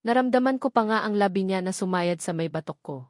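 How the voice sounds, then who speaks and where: A woman's voice: medium pitch, fast talker, dry recording. Woman narrating a romance story, dry close sound. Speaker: audiobook narrator. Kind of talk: scripted first-person romance novel narration.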